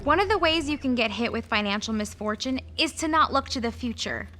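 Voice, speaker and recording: A woman's voice: on the high side, animated, fairly quick, over music. A woman hosting a show, giving scripted, upbeat explanations. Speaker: host. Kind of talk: scripted educational presenting.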